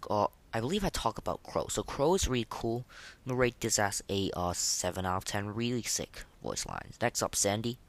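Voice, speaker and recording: A man hosting a podcast in casual, slangy, excitable chat, reviewing game voice lines. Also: fairly low pitch. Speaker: podcast host.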